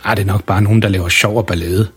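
Brief: sleepy, unwell schoolboy, done by a male narrator, hesitant and mumbling, slightly husky. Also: lowish voice, fairly even intonation, soft background music. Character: tired, unwell young schoolboy.

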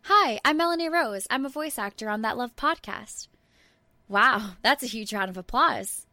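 A woman's voice: fairly high pitch, animated, moderate pace, sharp tone, dry recording. A woman playing a vain, flippant voice actor in a comic podcast promo. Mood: smug, flippant.

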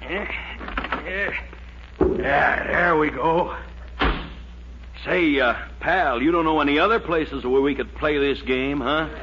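An actor playing a gleeful, streetwise small-time crook with wisecracking, mock-polite delivery in 1940s radio comedy style. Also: male voice, fairly high pitch, slow, pauses often, dull audio. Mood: gleeful, sly. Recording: noisy, band-limited 1940s radio recording.

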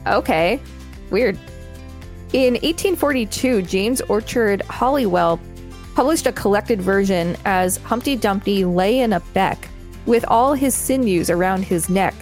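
A woman reads reference text aloud as a podcast co-host, slipping into casual asides. Her voice sits at an average pitch, with faint music underneath. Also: animated, a little slow, darkish tone. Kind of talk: reading an encyclopedia article aloud with casual asides.

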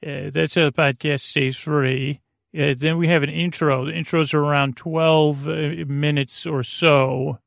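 A man with a creaky voice hosting a bedtime podcast, meandering hesitantly, mumbling and trailing off in a goofy way. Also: on the high side, level tone, a little slow, dry recording, clean studio sound. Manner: silly, goofy. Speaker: podcast host. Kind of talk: rambling bedtime podcast monologue.

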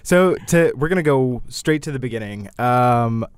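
A man's voice: mid-range voice, expressive, normal speed, clear, resonant tone. A man co-hosting an interview show, asking questions in a friendly, slightly stumbling way. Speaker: podcast co-host.

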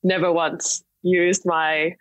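A woman's voice: on the low side, level tone, takes it slowly, no echo. A young woman from Singapore telling her story conversationally as an interview guest on a podcast. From Singapore, partly Australia. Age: young adult. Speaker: podcast guest, startup chief executive.

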